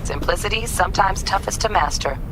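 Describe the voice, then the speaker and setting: An actress playing a no-nonsense hacker who gives clipped, terse instructions in video game dialogue. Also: female voice, medium pitch, brisk, background noise, close to an everyday voice. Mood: dry. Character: brisk, no-nonsense female hacker running a heist.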